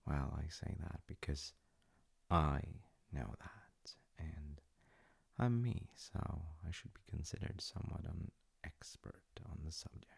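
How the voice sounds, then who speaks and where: Very deep, gravelly storytelling dad played by a man. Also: lively intonation, heavily acted. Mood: tender, reassuring. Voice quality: gravelly, husky, often dropping to a breathy murmur. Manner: slow, soft-spoken, halting, musing. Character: gentle, doting, wryly humorous storytelling dad. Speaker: voice actor. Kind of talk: intimate comfort audio roleplay, bedtime storytelling.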